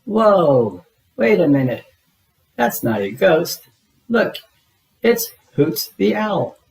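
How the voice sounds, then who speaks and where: A man voicing an excitable, jumpy young monster girl in a children's storybook, exclamatory and childlike. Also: high-pitched, slow, vocal fry, far from the mic, voice pushed up, heavily acted, low-quality recording. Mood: surprised.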